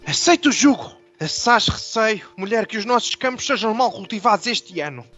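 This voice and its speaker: An actor playing a pompous, old-fashioned rural nobleman in a radio drama, declaiming in a halting way. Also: high-pitched, lively intonation, fairly bright tone. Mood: solemn. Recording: dull lo-fi audio over music.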